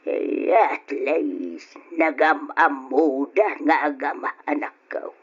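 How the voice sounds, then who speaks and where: A woman playing an older, caring Ilocano mother in an old-time radio drama, with an expressive, sighing delivery. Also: low voice, takes it slowly, gravelly, breathy edge, exaggerated voice. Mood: tender. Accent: Ilocano, from the Philippines. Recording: dull lo-fi old radio recording.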